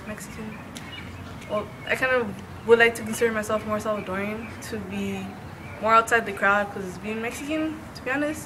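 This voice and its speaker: A woman's voice: mid-range voice, background noise. A young woman answering interview questions bluntly and frankly, a bit indignant.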